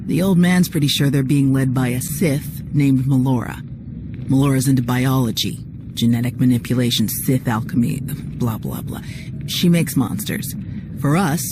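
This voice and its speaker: A woman's voice: very deep voice, steady tone, measured pace, background noise. A woman playing a tough, cocky female security chief in a game cutscene, blunt and wry in manner. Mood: wry, matter-of-fact. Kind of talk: video game cutscene acting. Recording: music under the voice.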